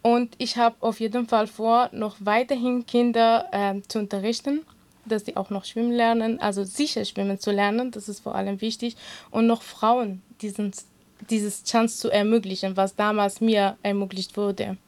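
A woman with a Kurdish accent, Kurdish her mother tongue, answers interview questions about her work. She speaks earnestly but haltingly, with many filler sounds, at an ordinary mid pitch. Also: steady tone, darkish tone. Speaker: interview guest, swimming instructor.

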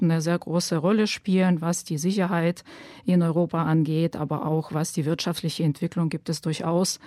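A woman's voice: lowish voice, warm tone, no room sound. A woman from Ukraine, a guest activist, explaining earnestly in a studio with frequent hesitations. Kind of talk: conversational explanation. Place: university studio.

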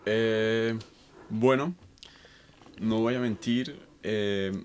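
A Colombian-born man in his thirties, a researcher answering interview questions in a thoughtful, halting way with many fillers. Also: lowish voice, fairly even intonation, a little hiss or hum. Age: 30s. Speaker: guest researcher. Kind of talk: conversational interview.

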